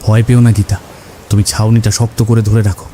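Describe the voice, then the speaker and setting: A man's voice: on the low side, little pitch movement, fairly quick, dark tone, a little hiss or hum, lightly acted. A man playing a cautious, protective village husband in a horror cartoon. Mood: concerned, urgent. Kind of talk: Bengali horror cartoon dubbing.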